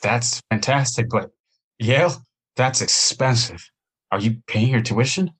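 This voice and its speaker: A man voices a young Broadway chorus dancer in an audio play, stranded in the city. He speaks earnestly and pleads at a medium pitch, sounding sincere and eager to please. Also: measured pace, a bit bright, husky. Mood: grateful. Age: young adult.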